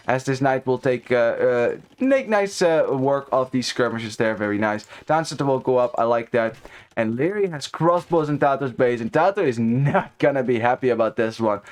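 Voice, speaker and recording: A young Dutch man in his twenties casting a strategy game live, chatting with viewers and rambling with many restarts. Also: medium pitch. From Netherlands. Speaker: esports caster. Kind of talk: live game commentary with chat banter.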